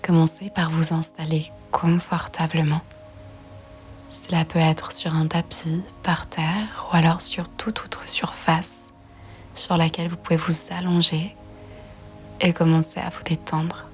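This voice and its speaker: A woman leads a guided yoga relaxation in a soft, breathy half-whisper. Her delivery is very slow and gentle, with hardly any rise or fall in pitch. Faint music plays behind her. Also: flat delivery.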